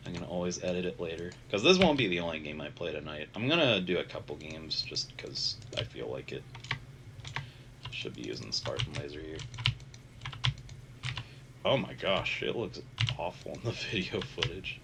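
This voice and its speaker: A man with a deep, stuffy, nasal voice talks loosely over a game, trailing off and filling pauses as he goes. He sounds a bit worn out. Steady keyboard and mouse clicks come through clearly behind him on the headset mic. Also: background noise. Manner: rambling, many filler sounds, self-deprecating. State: congested, nasal; tired. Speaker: gaming channel host. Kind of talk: casual gameplay commentary. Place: home bedroom. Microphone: gaming headset.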